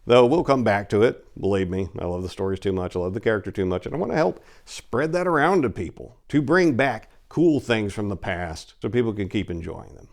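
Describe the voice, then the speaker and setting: A man's voice: fairly low pitch, expressive, a little fast, creaky, few pauses. A man hosting a story channel, chatting casually and enthusiastically to his listeners. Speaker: host and reader of a story channel.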